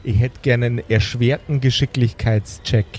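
A man acting as game master, narrating an improvised tabletop game in a measured, deliberate way. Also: medium pitch, fairly even intonation, darkish tone. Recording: faint background noise.

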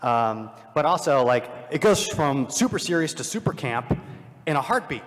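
A man on a live convention panel, thinking aloud with frequent restarts and lively jumps in emphasis. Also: on the high side, moderate pace. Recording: hall mic with long reverb.

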